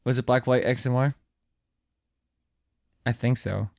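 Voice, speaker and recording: A man's voice: mid-range voice, fairly even intonation, unhurried, vocal fry, a little mellow, no echo, clean studio sound. A young man chatting casually and jokingly as a podcast co-host. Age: young adult.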